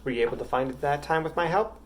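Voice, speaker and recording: A man's voice: fairly high pitch, fast talker. A man teaching a music lesson to young children, patient and encouraging.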